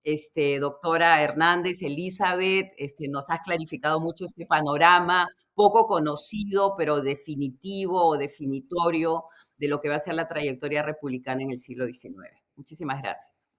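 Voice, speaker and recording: A woman hosting a history interview, speaking off the cuff in a measured, formal, academic way. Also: low voice, fairly bright tone, dry recording. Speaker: host. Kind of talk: history interview hosting, unscripted closing remarks.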